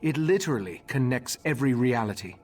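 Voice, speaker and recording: An actor playing a grave, remorseful elven mage leader in a fantasy game, speaking formally with measured, slightly husky delivery. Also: male voice, mid-range voice, lively intonation, darkish tone, faint music behind, lightly acted. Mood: pensive, wary. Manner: measured, formal, solemn exposition. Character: grave elderly male high elf mage leader, remorseful and scholarly.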